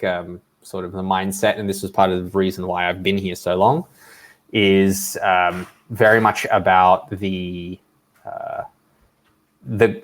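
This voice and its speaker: Guest, man, thirties, mild Australian accent, low voice, friendly rambling talker on a video call. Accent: Australian, softened by years in the US. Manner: friendly and talkative, frequent ums and restarts. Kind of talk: casual interview answers.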